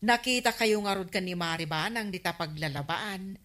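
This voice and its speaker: A woman's voice: lowish voice, normal speed, fairly bright tone, close to an everyday voice. A woman with an Ilocano accent playing a nosy, teasing village neighbour who prods others in a radio comedy drama.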